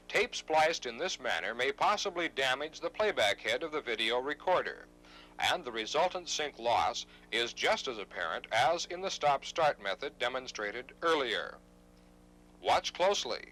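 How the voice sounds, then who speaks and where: Male instructional narrator. Scripted, formal, precise delivery, animated pitch, crisp forward brightness. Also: rough recording. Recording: 1970s instructional videotape narration.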